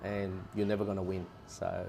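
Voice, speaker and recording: Gravelly low-voiced man giving earnest advice. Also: completely flat delivery, moderate pace, mellow, a little hiss or hum. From Australia. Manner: earnest, repeats advice plainly. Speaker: interview guest. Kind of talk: casual advice chat.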